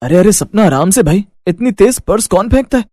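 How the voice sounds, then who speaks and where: Good-natured young husband, male actor, story drama: animated, exclaiming banter, dry close sound. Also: high-pitched, fairly quick, dry recording. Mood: surprised. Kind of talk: Hindi moral story voice acting.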